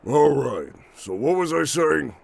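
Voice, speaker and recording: Big jovial rock-eating warrior, very gravelly, swooping pitch, folksy, boastful, fantasy game cutscene. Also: male voice, very animated, unhurried, very noisy, heavily acted. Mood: puzzled. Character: hearty, boastful, good-natured rock-eating giant warrior. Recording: music behind.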